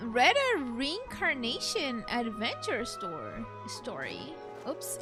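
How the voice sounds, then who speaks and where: A woman streamer in a casual let's play, reading text aloud and reacting playfully. Her voice is very high and lively in pitch. Game music plays lightly behind her.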